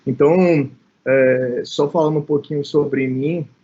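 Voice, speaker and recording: Higher-voiced Bahian man, dull call audio. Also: steady tone, a little slow, warm tone, slightly rough. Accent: Brazilian, from Bahia. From Salvador, Brazil. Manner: conversational, frequently checks in with short tags. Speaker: innovation manager, guest speaker. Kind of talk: informal presentation in an online panel. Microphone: online call.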